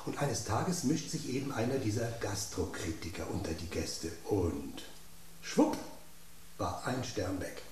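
A gossipy know-it-all spoon in a radio play, teasing and theatrical. His lower voice swings widely up and down as he builds suspense, heard in an echoey room with faint noise behind. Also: expressive, measured pace, darkish tone. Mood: dramatic. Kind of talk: radio drama acting. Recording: roomy studio echo, faint background.

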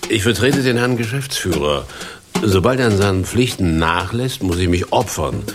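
An actor playing an officious, controlling deputy hotel manager in a radio play, speaking formally and pompously. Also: male voice, on the low side, animated, moderate pace, background noise, close to an everyday voice. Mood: officious, conciliatory. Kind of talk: German radio play acting. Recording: studio radio play with music behind.

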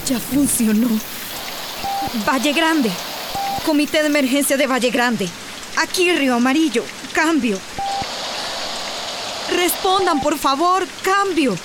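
A woman's voice: sits high, expressive, slow pace, long pauses. An actress with a Central American accent playing an urgent village woman radioing for help in a radio drama. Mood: urgent, anxious. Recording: noisy, rainy background.

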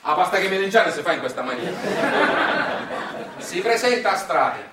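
A fairly high-voiced man with a Sicilian accent tells stories in a theatrical monologue. His manner is folksy and colloquial, peppered with dialect. He is some way from the mic in a heavily reverberant space. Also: expressive, a little fast, very noisy. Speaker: stage storyteller. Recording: very echoey room, distant mic.

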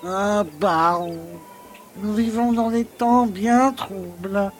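An actor playing a stern, disapproving village mayor in an audio drama, pompous and gloomy. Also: male voice, high voice, slow, faint music behind.

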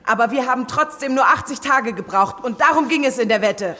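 A woman's voice: on the high side, fairly quick, bright, forceful tone. A woman playing a determined, outspoken, insistent lady in an audio drama. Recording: reverberant hall, music behind.

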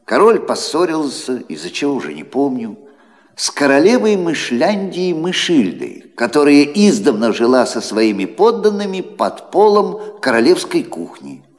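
A man's voice: a little high, sing-song, moderate pace. A kindly old godfather in a fairy-tale audio play. His intonation rises and falls dramatically as he narrates with teasing, grumbling theatricality. The voice rings in a long hall reverb. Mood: animated storytelling.

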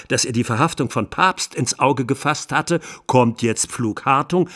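Incisive, emphatic male trial lawyer. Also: medium pitch. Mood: indignant, pressing. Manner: emphatic, rhetorical, formal. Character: male trial lawyer, indignant and incisive, arguing before judges. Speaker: lawyer in court. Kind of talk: courtroom plea in a radio drama.

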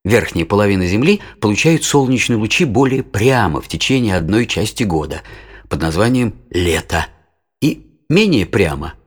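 Father, fairly deep, raspy, patient, didactic, measured delivery, educational audio play. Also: very animated. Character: patient father explaining science to his children.

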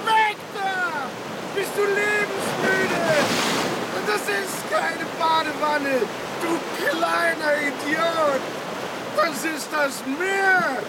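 A brash, mocking street-tough cat in a radio play. The voice is extremely high and measured in pace, snapping orders. Loud waves and wind surround it. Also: female voice, heavily acted. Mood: scornful. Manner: scornful, barking commands. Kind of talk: radio drama acting. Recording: loud wind and sea noise.

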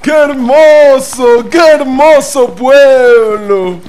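An actor playing an earnest, idealistic colonist in a radio drama, speaking in solemn, declamatory oratory. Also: male voice, extremely high pitch, drawn-out words, a little mellow, a little hiss or hum, full character voice. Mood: rapturous. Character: Augusto, earnest idealistic male colonist dreaming of a utopian commune. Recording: echoey reverberant sound with music behind.

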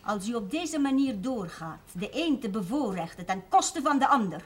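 High-voiced, domineering village wife. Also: female voice, very animated, normal speed. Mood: reproachful. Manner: stern, haughty, lecturing. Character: domineering, indignant small-town schoolmaster's wife and mother. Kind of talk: television drama acting.

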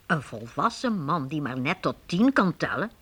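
A radio drama storyteller. Her rather low voice moves in lively sweeps as she explains and builds suspense with deliberate, expressive narration. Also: a little hiss or hum. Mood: explaining, suspenseful.

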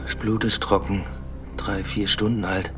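A brooding police detective played by a man in a radio drama speaks in a low voice. He mutters terse deductions while music plays behind him. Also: level tone, measured pace, close to an everyday voice. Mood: tense, pensive. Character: brooding male police detective at a murder scene. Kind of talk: German radio drama acting.

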